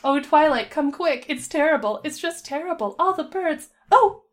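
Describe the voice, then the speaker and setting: A woman's voice: high-pitched, animated, unhurried, pitched above the performer's own voice. A woman voicing a timid, anxious winged cartoon pony girl in a fretful, hesitant, shy manner. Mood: panicked.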